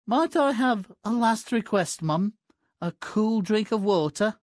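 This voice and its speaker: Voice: medium pitch, expressive, a little slow, darkish tone, no room sound, studio quality, close to an everyday voice. An actor voicing a hungry, dim, lazy peasant boy with a whining, nonchalant manner in a cartoonish game. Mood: wheedling. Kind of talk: cartoonish video game acting.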